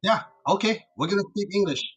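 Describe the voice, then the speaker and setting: A man's voice: sits high, expressive, brisk, husky. A man from China whose first language is Uyghur, chatting with strangers online in a friendly, teasing way and asking lots of questions. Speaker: participant in an online English practice chat. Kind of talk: casual chat.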